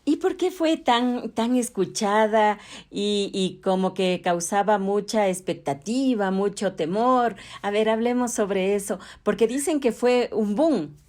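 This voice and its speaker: A woman with an Ecuadorian accent leads an interview. Her pitch sits in the middle range, and she puts her questions in a conversational way. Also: a little slow, a little mellow.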